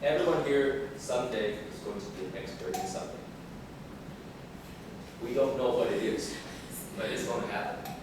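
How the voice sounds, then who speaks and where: A man gives a casual, animated talk to debate students and keeps asking them questions. His voice is middle-pitched. It is recorded from far off in a very echoey room with clear background noise. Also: moderate pace, pauses often. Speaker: debate coach.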